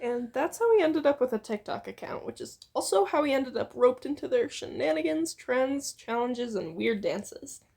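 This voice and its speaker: A young woman reads fan fiction in a very high, animated voice with a creaky, husky grain. She is playful and giggly, chatting informally as she goes. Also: moderate pace, hardly any pauses. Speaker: co-reader on a fan fiction reading channel.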